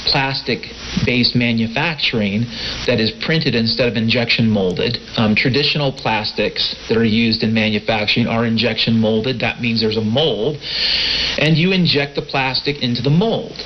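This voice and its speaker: A man's voice: medium pitch, normal speed. A man hosting an informal radio monologue, talking to his listeners in a folksy, familiar way. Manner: folksy, addresses listeners as friends, brothers and sisters. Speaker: host of a shortwave podcast broadcast. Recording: hissy, muffled shortwave radio broadcast.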